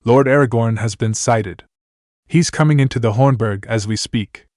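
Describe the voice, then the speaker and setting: A man's voice: mid-range voice, a little mellow, no echo, studio quality, natural voice. A man doing a breathless, stammering guardsman. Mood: deferential. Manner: hurried, deferential, stammering. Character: urgent young male guardsman, breathless.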